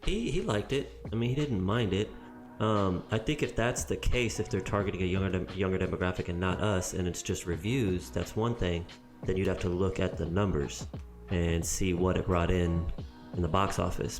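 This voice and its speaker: A man's voice: sits low, fairly even intonation, a little fast, a little mellow, faint music behind. A man co-hosting a casual podcast chat, rambling and restarting his sentences as he goes. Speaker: podcast co-host.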